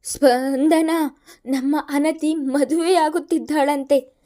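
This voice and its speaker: A woman voicing an older rich father who shouts in alarm. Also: sits high, unhurried, voice pushed up. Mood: panicked. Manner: shouting, panicked.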